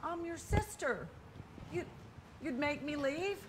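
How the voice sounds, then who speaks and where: A wounded, defiant younger sister in a stage drama. Her voice is fairly high, plaintive and stubborn, over faint noise from a live recording. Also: expressive, slow pace. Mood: pleading. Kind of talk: stage play acting.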